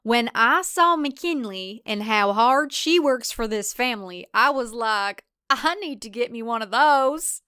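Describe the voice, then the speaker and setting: A woman's voice: fairly high pitch, lively intonation, measured pace, few pauses, bright, forceful tone, clean tone, no echo, studio quality. A woman voicing a crude, boorish male farmer with folksy bluster in a thriller story.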